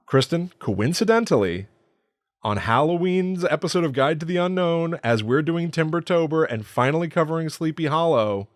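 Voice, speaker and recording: A man's voice: a little high, animated, moderate pace, no echo, clean studio sound. A man co-hosting a podcast, recapping a film in casual, slangy, jokey and enthusiastic chat. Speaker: podcast co-host.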